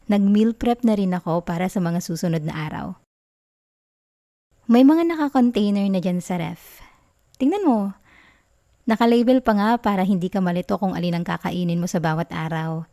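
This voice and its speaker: Mellow, affectionate Filipino girlfriend played by a woman. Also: mid-range voice, normal speed, pauses often, natural voice. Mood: proud, caring. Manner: soft, intimate, giggly, teasing. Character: affectionate, caring young girlfriend, playful and sweet. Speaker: ASMR roleplay performer. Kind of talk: affectionate girlfriend ASMR roleplay in Taglish.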